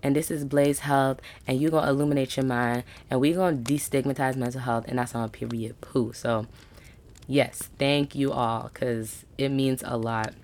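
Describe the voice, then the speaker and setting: A woman's voice: very deep voice, fairly even intonation, moderate pace, darkish tone, a little hiss or hum. A woman in her twenties hosting a solo podcast, talking in a casual, slangy, upbeat way with emphatic asides. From United States. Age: 20s. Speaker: podcast host.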